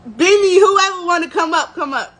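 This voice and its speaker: A woman's voice: extremely high pitch, normal speed, fairly bright tone. A woman telling a personal story on a livestream in a candid, emotional, emphatic way. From United States. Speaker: livestream host. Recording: clear background noise.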